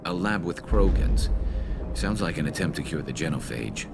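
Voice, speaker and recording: An actor playing a wary, analytical soldier squadmate in a video game, making dry, matter-of-fact remarks. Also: male voice, sits low, expressive, normal speed, creaky.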